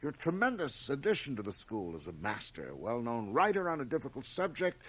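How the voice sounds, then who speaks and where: Blustering middle-aged board chairman, very animated. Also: medium pitch, a little fast, slightly rough, exaggerated voice, low-quality recording. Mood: firm, placating. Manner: emphatic, hesitant then blustering. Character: blustering board chairman of about fifty, smooth but exasperated. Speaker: actor. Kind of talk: 1980 radio drama acting. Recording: home cassette transfer of radio broadcast.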